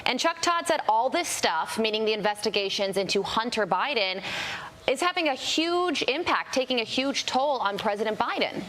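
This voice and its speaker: A woman's voice: on the high side, lively intonation, normal speed, fairly bright tone. American female news co-host with background noise. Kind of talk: live morning news chat.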